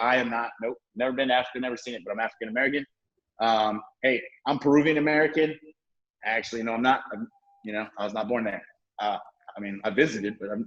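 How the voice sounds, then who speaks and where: A man's voice: medium pitch, fairly bright tone, highs cut off. A man in his thirties from the Southern US, talking casually on a discussion panel, rambling with restarts and fillers. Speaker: panel guest.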